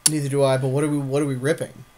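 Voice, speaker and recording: A man's voice: mid-range voice, little pitch movement, rapid-fire, darkish tone, a little hiss or hum. Man in casual, agreeable game chat. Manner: agreeable, short clipped reactions. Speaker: podcast co-host playing a card game.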